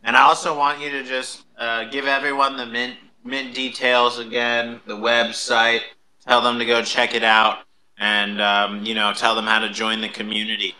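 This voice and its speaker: A man's voice: medium pitch, completely flat delivery, normal speed, bright, forceful tone, a little hiss or hum, low-quality recording. A man hosting a live audio chat in a casual, informal way. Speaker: host.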